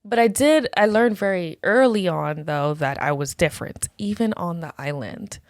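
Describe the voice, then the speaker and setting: A woman guest casually recounting her story in conversation, with a relaxed storytelling manner and soft, frequent affirmations. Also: lowish voice, moderate pace, no echo, studio quality. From United States.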